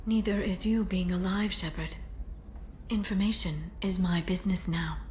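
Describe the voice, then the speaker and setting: A woman voicing a composed alien information broker in a video game cutscene, speaking softly and in a measured way. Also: fairly low pitch, steady tone, slight background noise, natural voice. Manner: soft-spoken, measured, quiet intensity.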